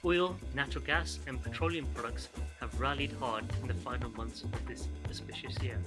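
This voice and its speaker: A male podcast host with a mid-pitched voice reads a polished intro and a brisk legal disclaimer. When he improvises his questions he stumbles over uhs and repeats himself. Music plays clearly underneath. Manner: reads a compliance disclaimer at a brisk clip, hesitant with fillers when unscripted. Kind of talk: scripted intro, then casual interviewing.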